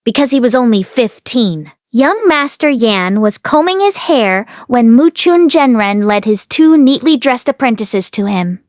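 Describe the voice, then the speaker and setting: A woman narrates a novel in a fairly high voice, reading from the text as an audiobook reader. The sound is very dry, with hardly any room around it. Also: no echo.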